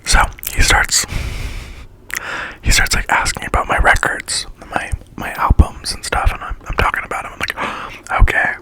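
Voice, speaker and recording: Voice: normal speed, rough recording. A speaker whispering a slangy, chatty storytime in ASMR style while eating, acting out quoted lines along the way.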